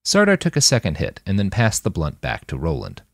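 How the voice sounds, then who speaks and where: A man's voice: sits low, wildly swooping pitch, brisk, no room sound, clean studio sound. A man reading scripted fiction as an audiobook narrator.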